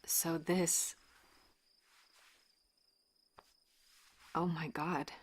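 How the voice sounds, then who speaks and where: Casting: soft-spoken woman doctor in audio drama, lowish register, gentle, questioning, naturalistic. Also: level tone, slow pace, slight background noise. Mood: awed, hushed. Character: gentle, probing female children's doctor.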